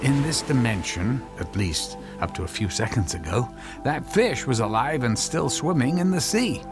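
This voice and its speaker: A man playing an earnest, halting physicist husband, animated pitch. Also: music in the background, lightly acted. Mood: earnest, explanatory. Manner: halting, stammering explanations. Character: earnest, anxious physicist husband explaining his invention. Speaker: actor in a radio drama. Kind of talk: dramatic radio acting.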